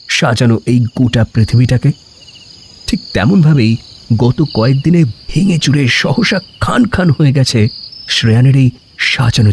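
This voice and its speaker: Expressive male story narrator. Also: medium pitch, a little hiss or hum. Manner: emotive, literary. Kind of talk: dramatic scripted story narration. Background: background music.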